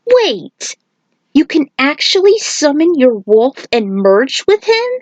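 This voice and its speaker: Woman as an excitable high-pitched warrior monk. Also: takes it slowly, no room sound, lo-fi sound, full character voice. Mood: astonished.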